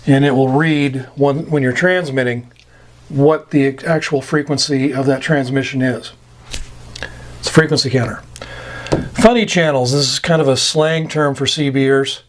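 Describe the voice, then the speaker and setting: An American man giving an informal, plain-spoken explainer of technical terms, pausing often between short phrases. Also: mid-range voice, fairly even intonation. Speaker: instructor explaining CB radio terms. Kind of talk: informal explainer, glossary-style lesson.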